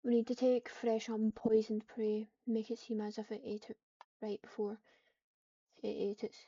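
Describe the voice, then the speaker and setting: Girl doing roleplay lines n narration, monotone, mellow voice, kinda muffled and far from the mic. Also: a little high, moderate pace, breathy edge, no echo. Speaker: online roleplay player. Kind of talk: roleplay dialogue and third-person narration. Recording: distant, slightly muffled microphone.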